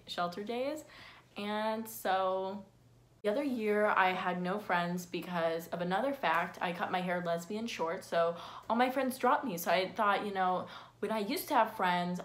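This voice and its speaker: A woman's voice: mid-range voice, fairly bright tone. A sixteen-year-old girl chatting casually to her phone camera in a bedroom, rambling through facts about herself with lots of fillers and self-deprecating asides. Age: teens. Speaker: vlogger. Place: bedroom with window blinds, indoors. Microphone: phone camera at close range.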